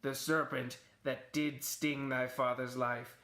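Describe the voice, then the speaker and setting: A man voicing the solemn, suffering ghost of a murdered king in a Shakespearean puppet show, declaiming in drawn-out phrases. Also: medium pitch, little pitch movement, pushed and bright, no room sound. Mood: solemn, bitter.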